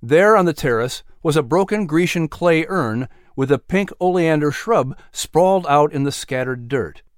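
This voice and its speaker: A man narrating a scripted story as an audiobook, in a measured, expressive storytelling manner. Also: on the high side, no echo, clean studio sound.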